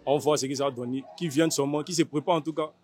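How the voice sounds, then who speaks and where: A man's voice: on the high side, steady tone, fast, a little mellow. A man with an Ivorian accent talking informally in an interview as a relative of a fighter. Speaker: fighter's older brother being interviewed.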